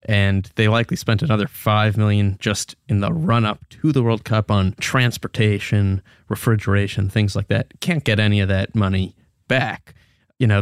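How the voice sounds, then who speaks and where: A man's voice: fairly low pitch, no echo, studio quality. A young American man co-hosting a podcast with conversational news commentary. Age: young adult. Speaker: podcast co-host.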